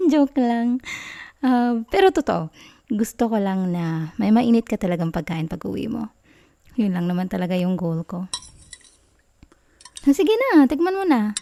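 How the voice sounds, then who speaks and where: Mellow, sweet Filipino girlfriend played by a woman. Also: mid-range voice, lively intonation, unhurried, creaky, pauses often. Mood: shy, flattered. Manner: soft, giggly, coy, tender. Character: sweet, doting, bashful young girlfriend, affectionate and caring. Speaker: ASMR roleplay performer. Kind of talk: affectionate girlfriend roleplay ASMR.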